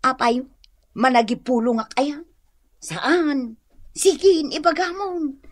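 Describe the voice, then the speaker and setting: An actress playing a lively, nosy village gossip in an Ilocano radio comedy, exclaiming with an Ilocano accent. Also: female voice, high-pitched, slow. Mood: excited, coaxing. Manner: animated, exclamatory.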